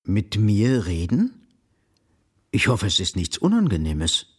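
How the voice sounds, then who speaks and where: An actor in a radio drama playing a stern older father, speaking in a guarded, deliberate manner. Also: on the low side, huge pitch swings, slightly rough, exaggerated voice. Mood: wary.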